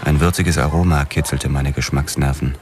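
A man's voice: extremely low pitch, steady tone, moderate pace, darkish tone, a little hiss or hum. A man playing a weary, terse police detective narrating a noir radio drama. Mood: terse, controlled.

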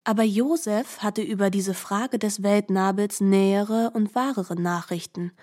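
A woman narrating scripted literary prose for radio in a measured, formal storytelling manner. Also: medium pitch, fairly even intonation, darkish tone.

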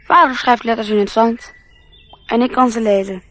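A woman's voice: on the high side, fairly even intonation, normal speed, a bit bright. A woman playing a dreamy, earnest little boy in a Dutch radio play, speaking in a childlike way. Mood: proud, dreamy.

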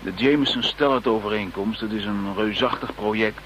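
An actor playing an unruffled, matter-of-fact British chief police inspector in a radio drama. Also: male voice, on the low side, moderate pace, a bit bright, lightly acted, rough recording. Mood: explanatory. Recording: faint background noise, old radio play.

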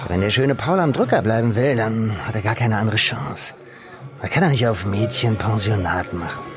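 A man's voice: fairly low pitch, expressive, moderate pace. An actor in a German radio drama playing a gossipy, streetwise male bar informant with a slangy, chummy manner. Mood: knowing. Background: music.

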